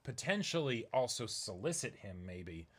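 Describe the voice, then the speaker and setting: A man's voice: medium pitch, big pitch swings, normal speed. A man chatting casually as co-host of a manga reading, commenting in an analytical, conversational way.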